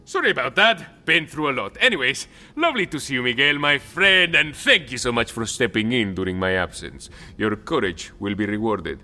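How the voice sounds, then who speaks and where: Audio drama: smooth, slippery revolutionary chief, forceful tone, lively intonation. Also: male voice, mid-range voice, moderate pace, hardly any pauses. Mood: breezy. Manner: smooth-talking, hesitant when challenged. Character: vain, affable, evasive male revolutionary leader.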